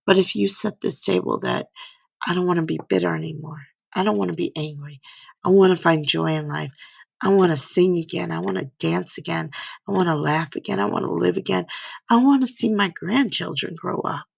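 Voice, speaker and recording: An older woman with a hoarse voice gives an earnest faith-based motivational talk from her slides, rambling and often asking "right?" and "you know?". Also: fairly low pitch, brisk, no echo. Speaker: speaker giving a slide talk.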